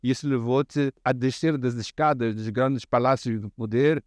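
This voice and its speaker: A man's voice: medium pitch, fairly even intonation, moderate pace, no echo, clean studio sound. A man with a Mozambican accent hosting a reflective interview, talking in a discursive, professorial way. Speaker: host.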